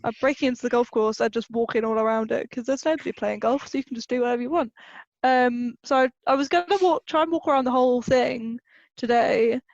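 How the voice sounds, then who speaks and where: A young British woman with a high voice chats casually and playfully, poking fun at herself. The sound is dry but a little dull and lo-fi, like a home podcast. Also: fast, a little mellow, no echo. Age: 20s. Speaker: podcast co-host. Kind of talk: casual chat.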